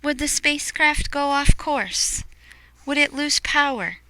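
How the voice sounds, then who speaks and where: A woman reading a picture book aloud expressively, explaining things to young listeners in hushed asides. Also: high-pitched, measured pace, dry recording, low-quality recording.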